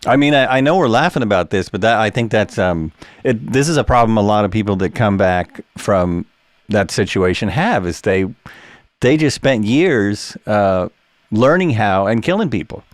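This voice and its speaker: A man's voice: lowish voice, expressive, moderate pace, dry recording. A middle-aged man hosting a casual interview, speaking with wry, hesitant phrasing. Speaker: podcast host. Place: indoors on a video call. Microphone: close podcast microphone with headphones.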